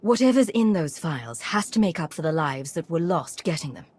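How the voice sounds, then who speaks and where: An actress playing a principled, composed commander in a video game, measured and authoritative. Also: female voice, low-pitched, big pitch swings, darkish tone, slightly rough, exaggerated voice. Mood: concerned. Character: principled, composed female commander, compassionate yet firm.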